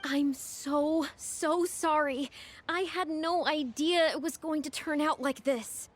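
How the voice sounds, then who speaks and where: A woman voicing an earnest, troubled female character in a fantasy video game, with expressive delivery. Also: high voice, slow pace. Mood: ashamed, apologetic.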